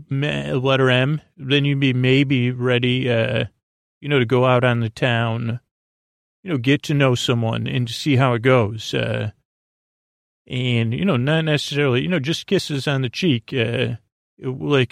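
A man hosting a bedtime podcast in a rambling, improvised monologue, hesitant and halting with false starts, musing whimsically as he goes. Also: mid-range voice, creaky, no room sound, clean studio sound. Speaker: podcast host.

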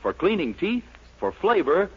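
A man's voice: high voice, big pitch swings, unhurried, slightly rough. A man announcing scripted commercials in an emphatic, persuasive manner, heard on a lo-fi 1940s radio broadcast.

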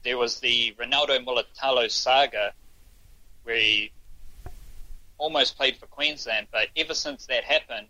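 A man's voice: medium pitch, unhurried, pauses often, bright, forceful tone, no room sound, low-quality recording. A man, a sports journalist guest on a podcast, talking casually in short restarts. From New Zealand. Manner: thoughtful, talks in short restarts.

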